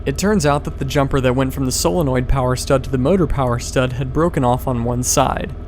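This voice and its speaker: A man's voice: mid-range voice, fairly quick, faint music behind. A man reading a scripted voice-over as a pilot and video host.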